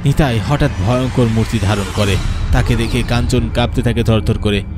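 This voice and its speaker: A man's voice: mid-range voice, fairly even intonation, moderate pace, noisy background. A man narrating a scripted horror cartoon story in a dramatic storytelling style.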